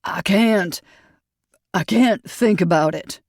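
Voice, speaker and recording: A grieving gray-haired husband with a thick Texas drawl, as an audiobook narrator puts him on, his words pained and halting. The voice sits in a middle register, recorded close and dry with no room sound. Storybook crime drama. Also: medium pitch, no echo, voice pushed up. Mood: anguished. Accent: Texas drawl (as played).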